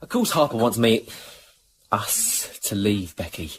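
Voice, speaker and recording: A cocky young-family crook in a radio crime drama, forever justifying himself. The voice is raspy and animated, wheedling and boastful by turns. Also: male voice, on the low side, unhurried, exaggerated voice. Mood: suspicious. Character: cocky, self-justifying small-time male criminal, expectant father.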